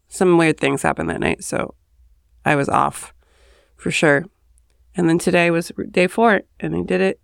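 Brief: woman host, just up and hoarse, gravelly edge, smooth resonant tone, rambling chatty asides, dry close sound. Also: fairly low pitch, no echo. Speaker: podcast host. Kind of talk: casual solo monologue. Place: home, early morning.

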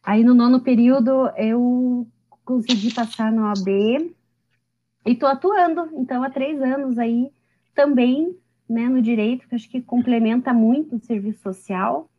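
Chatty, high-voiced woman in her late thirties. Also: moderate pace, muffled recording. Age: 30s. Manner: chatty, self-deprecating, laughs often. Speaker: podcast guest. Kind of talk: casual interview.

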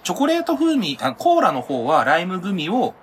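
A man's voice: sits high, wildly swooping pitch, a little fast, slight background noise. A man co-hosting a podcast, chatting casually with friends in a chatty, explanatory manner. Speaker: podcast co-host. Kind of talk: casual chat among friends.

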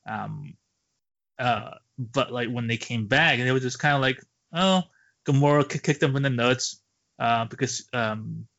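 Halting man on a lo-fi podcast. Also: mid-range voice, vocal fry, fairly bright tone. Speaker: podcast co-host. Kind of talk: casual movie review chat. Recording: dull lo-fi podcast audio.

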